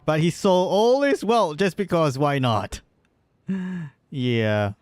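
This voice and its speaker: A man's voice: a little high, very animated, takes it slowly, smooth, dry recording, clean studio sound. A man giving casual, rambling live commentary on a game stream, swearing freely at times.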